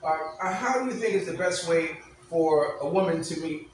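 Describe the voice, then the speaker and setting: Joking host with a high voice in an echoey room. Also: male voice, animated, recorded from a distance, slight background noise. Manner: joking, teasing, halting with many fillers. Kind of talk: casual mock late-night interview. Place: room with a fan running.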